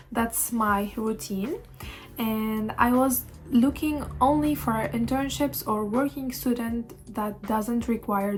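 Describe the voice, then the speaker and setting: A young woman, telling a casual storytime vlog with many pauses and fillers. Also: a little high. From Tunisia. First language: Arabic. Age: 20s. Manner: hesitant, many fillers and restarts. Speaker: vlogger.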